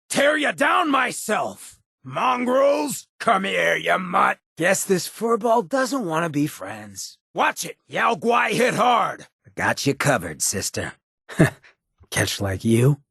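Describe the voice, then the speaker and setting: An actor playing a swaggering, sardonic but loyal male ghoul rebel in a video game, with a very raspy, gravelly American voice and a streetwise, folksy drawl. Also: mid-range voice, a bit bright, no room sound, studio quality, heavily acted. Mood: combative shouting, then easygoing, wry. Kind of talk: video game companion voice acting.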